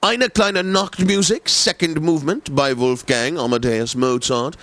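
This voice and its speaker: An actor playing a pompous, effete classical radio DJ in a video game, announcing in a theatrical, unctuous, precisely enunciated manner. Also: male voice, fairly high pitch, measured pace, fairly bright tone, dry recording. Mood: smooth, pompous.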